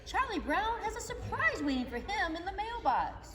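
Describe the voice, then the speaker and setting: Animated woman storyteller, high voice. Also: moderate pace. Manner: animated, expressive. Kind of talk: reader's theater narration. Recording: echoey hall, mic far off.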